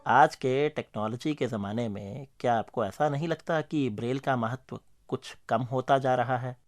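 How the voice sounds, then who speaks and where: A man's voice: medium pitch, moderate pace, faint music behind. A man hosting a radio interview, putting questions in a polite, formal way.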